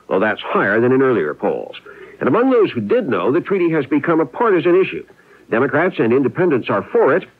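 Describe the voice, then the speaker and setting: A man's voice: medium pitch, husky. An American man delivering a scripted report as a news correspondent. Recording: lo-fi 1970s radio broadcast.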